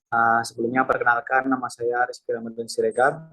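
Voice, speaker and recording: A young man from Medan moderating a class group presentation. He talks formally, reads from slides and often pauses on "eh". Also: mid-range voice, poor audio. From Medan, Indonesia. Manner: formal, filler-heavy, reads slides in an even voice. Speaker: student moderator.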